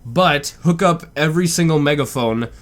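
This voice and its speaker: A man's voice: fairly high pitch, moderate pace. A man hosting a reaction video, chatting casually in a rambling way with lots of "like". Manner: rambling, with frequent "like".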